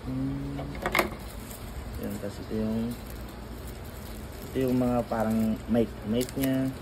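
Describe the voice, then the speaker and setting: A man's voice: medium pitch, steady tone, slow pace. A man chatting casually through an unboxing vlog, laughing often and filling gaps with "ah". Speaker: moto vlogger. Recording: noisy, vehicle sounds behind.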